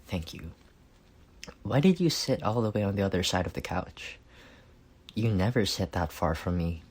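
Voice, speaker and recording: A man acting a reserved, awkward and earnest best friend in an intimate roleplay, speaking softly and haltingly. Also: sits low, expressive, husky. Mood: calm, hesitant. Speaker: voice actor.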